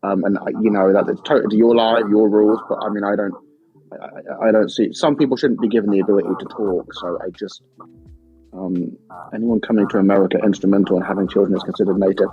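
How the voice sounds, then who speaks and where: A man in a casual group chat who makes wry, deadpan jokes. His voice sits on the low side and stays easygoing. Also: fast, slightly rough, muffled recording, faint music behind. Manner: wry, dryly joking. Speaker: live stream panelist.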